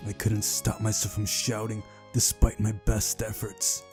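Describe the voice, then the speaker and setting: A man's voice: fairly low pitch, completely flat delivery, measured pace, a little mellow. A man reading a visual novel aloud and chatting casually as he plays, with music behind him. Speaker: host of a game playthrough. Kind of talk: casual playthrough chat and read-aloud narration of a visual novel. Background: game music.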